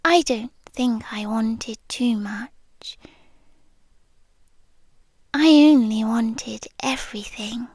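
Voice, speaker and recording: A woman with a British accent playing a possessive, lovestruck monster girlfriend in an intimate ASMR roleplay, often dropping into breathy whispers. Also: fairly high pitch, darkish tone, dry recording, studio quality, exaggerated voice. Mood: brooding, possessive. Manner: slow, breathy, often whispering, intense and tender. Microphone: close ASMR microphone, dry.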